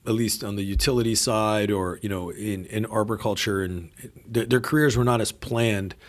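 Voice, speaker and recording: Lower-voiced easygoing male co-host. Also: fairly even intonation, normal speed. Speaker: podcast co-host. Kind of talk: conversational interviewing.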